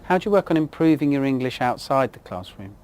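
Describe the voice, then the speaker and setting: A man asking an interviewee short, clear questions. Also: medium pitch, big pitch swings, fast, a little mellow, a little hiss or hum.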